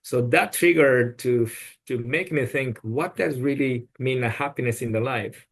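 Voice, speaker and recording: Brief: male podcast guest, phrasing, sincere and advice-giving, at a middle pitch. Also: measured pace, husky. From Japan. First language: Japanese. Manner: earnest, advice-giving, with non-native phrasing. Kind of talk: podcast conversation.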